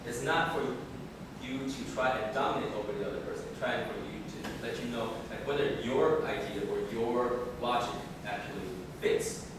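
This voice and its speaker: A man gives a casual, animated talk to debate students and keeps asking them questions. His voice is middle-pitched. It is recorded from far off in a very echoey room with clear background noise. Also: normal speed. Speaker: debate coach.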